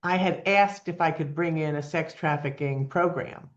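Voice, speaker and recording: A woman's voice: low voice, normal speed, highs cut off. A woman in her seventies, a nonprofit founder talking as a guest in an earnest, plainspoken way with frequent ums. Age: 70s. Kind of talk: casual interview talk.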